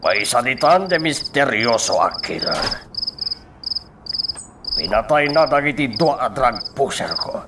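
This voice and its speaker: A man's voice: fairly low pitch, heavy background noise. A man playing a menacing crime boss in a radio drama, stern and commanding, with a Filipino accent. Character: menacing male crime boss running illegal rackets.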